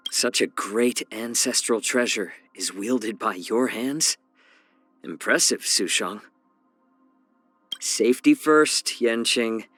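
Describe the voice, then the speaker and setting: An actor playing a calm, wry, courteous male healer and strategist in a video game, with a measured, genteel, gently teasing delivery. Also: mid-range voice, no room sound, soft background music. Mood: poised, encouraging. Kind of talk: scripted video game character voice lines.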